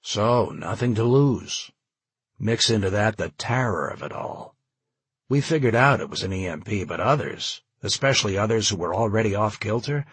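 A grim male leader, voiced by a narrator reasons bitterly and coldly in audiobook dialogue. His voice is lowish and very husky, with forceful delivery and a dry, close sound. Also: lively intonation, moderate pace, dry recording, heavily acted.

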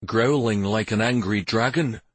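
A man's voice: on the low side, measured pace, breathy edge, no room sound. A man voicing a prince's loyal male companion in an audiobook, frank, earnest and concerned.

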